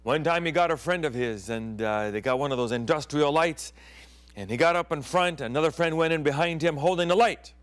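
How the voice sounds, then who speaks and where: Hushed, dramatic storytelling pastor. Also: male voice, on the high side, brisk. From Thailand. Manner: dramatic storyteller, dropping to hushed tones and whispers. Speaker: pastor and TV program host. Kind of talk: storytelling sermon.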